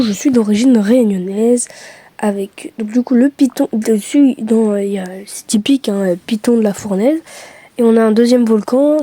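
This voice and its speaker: A woman's voice: fairly high pitch, dark tone, no room sound. A young woman from France chatting casually to her camera, hesitant with many fillers and self-mocking asides. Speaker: vlogger.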